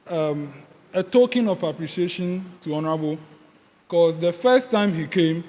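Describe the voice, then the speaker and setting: A man with a Ghanaian accent, an organizer of a youth parliament, making ceremonial remarks and reading a citation, halting with fillers. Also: high voice. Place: large hall. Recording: hall reverb, faint room noise.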